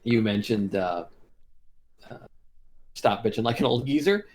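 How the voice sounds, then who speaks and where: Animated, lower-pitched man chatting casually. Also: measured pace, slight background noise. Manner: animated, teasing, blunt and salty. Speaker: podcast co-host. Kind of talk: casual chat.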